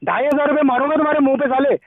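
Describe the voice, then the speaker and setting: A man's voice: very high voice, fairly even intonation, racing, crowd noise. A man on a phone call, agitated, blunt and irritated, as the target of a prank. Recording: dull lo-fi phone line.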